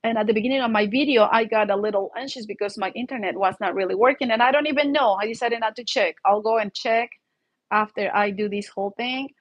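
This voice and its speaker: A woman's voice: a little high, fast talker, lo-fi sound. Mexican-accented woman in a rambling chatty vlog. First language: Spanish. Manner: rambling, conversational, seeks agreement with "right?". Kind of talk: informal solo vlog commentary.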